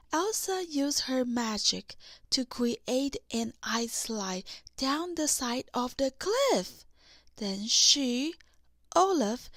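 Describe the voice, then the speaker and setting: A woman narrating a scripted children's storybook in deliberate, separate phrases. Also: sits high, fairly bright tone, no room sound, studio quality. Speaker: audiobook narrator.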